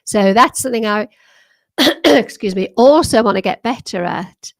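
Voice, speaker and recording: A retired British woman in her sixties chats with her livestream viewers from home, rambling and laughing, with plenty of ums and restarts. Her voice sits a little high for a woman and is slightly worn, as though she is losing it. The sound is dry and close, with no room echo. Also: expressive, moderate pace. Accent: British English. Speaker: livestream host. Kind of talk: casual chat with viewers. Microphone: desktop microphone.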